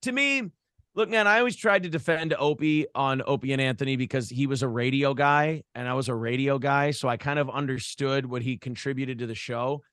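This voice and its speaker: A man's voice: mid-range voice, a little fast, a bit bright, smooth, dry recording, clean studio sound. An American man talking casually as an interview guest, blunt and opinionated, with profanity and the practised talk of a radio show host. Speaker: morning show host and former radio broadcaster.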